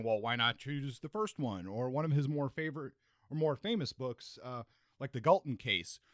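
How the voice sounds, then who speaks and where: A man's voice: mid-range voice, dry recording. Man co-hosting, dry close sound. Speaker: podcast co-host. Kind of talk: conversational book discussion.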